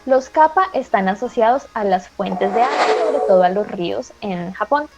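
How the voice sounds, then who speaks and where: A woman with a Colombian accent, co-hosting an anime show and presenting a segment in a lively, playful way. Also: medium pitch, normal speed, over loud music, poor audio. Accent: Colombian Spanish. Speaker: co-host of anime talk show.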